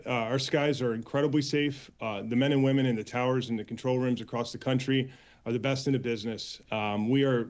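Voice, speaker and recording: An American man answering as an interview guest and union spokesman, earnest and halting, with frequent filler pauses. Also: mid-range voice, a little mellow. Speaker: air traffic controllers' union official, interview guest.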